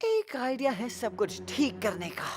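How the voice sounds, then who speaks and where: A woman voicing a greedy, scheming, impatient young boy in an animated children's cartoon dub. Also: on the high side, measured pace, music in the background. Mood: sulky, scheming.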